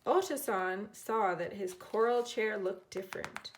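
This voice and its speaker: A woman teacher reading a children's storybook aloud in an expressive way, acting out the characters. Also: medium pitch, a little slow, a little hiss or hum. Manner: expressive, dramatizing characters' lines.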